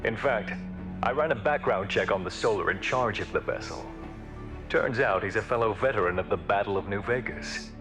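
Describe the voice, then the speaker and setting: An actor playing a stern, honorable veteran alien admiral in a video game, speaking in a formal, measured, authoritative way. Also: male voice, on the low side, music in the background, lightly acted. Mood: proud, respectful. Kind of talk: video game cutscene acting.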